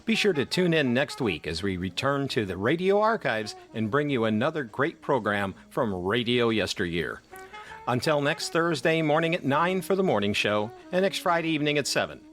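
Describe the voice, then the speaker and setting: A man's voice: mid-range voice, big pitch swings, normal speed, a bit bright, soft background music. A man hosting on community radio, reading a scripted sign-off.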